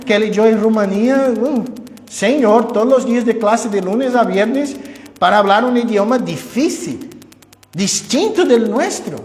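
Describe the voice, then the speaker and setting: Echoey Portuguese-native man preaching. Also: sits high. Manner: teaching tone with conversational asides and personal examples. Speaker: pastor. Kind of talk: expository sermon. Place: church sanctuary. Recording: echoey church hall with long reverb.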